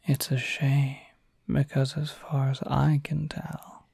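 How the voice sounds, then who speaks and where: Man playing a slow, breathy whispering incubus. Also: mid-range voice, monotone, darkish tone, no room sound, studio quality, heavily acted. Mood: teasing, amused. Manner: unhurried, sly, intimate. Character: suave, teasing male incubus demon, talkative and cryptic. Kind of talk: whispered ASMR roleplay. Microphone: close microphone.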